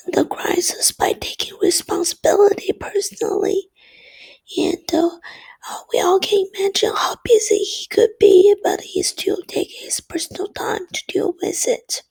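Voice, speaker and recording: A woman giving a class presentation in a hoarse, nearly lost voice from a cold, hesitant with many fillers. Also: very high-pitched, darkish tone, poor audio. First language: Chinese. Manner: mostly whispered, hesitant with fillers. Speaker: student presenter.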